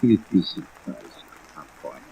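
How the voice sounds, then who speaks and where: An actor playing a crooked insurance adjuster turned blackmailer in a 1950s radio drama, with folksy, wheedling slang. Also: male voice, slow pace, muffled recording, some chatter behind, lightly acted. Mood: wounded, gasping. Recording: noisy old radio, rain sound overlaid.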